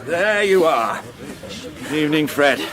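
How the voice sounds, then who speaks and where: A man's voice: fairly high pitch, expressive, slow pace, a bit bright. An actor playing a testy, well-off police inspector in a radio crime drama, dry and condescending as he grumbles. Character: testy, well-off police inspector who likes his brandy.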